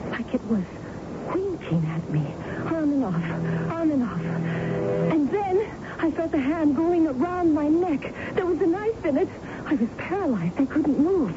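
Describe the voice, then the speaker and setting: A woman playing a distraught young American woman, a daughter recounting a terror, in a radio drama. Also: high voice. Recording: muffled, noisy vintage radio recording.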